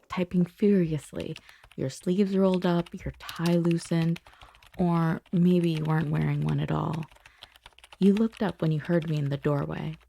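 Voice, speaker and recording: A woman playing a controlled, powerful boss torn by forbidden attraction, in an intimate ASMR roleplay, speaking softly and deliberately with lingering pauses, often dropping to a whisper. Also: sits low, gravelly, no echo, full character voice. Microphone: close, dry microphone.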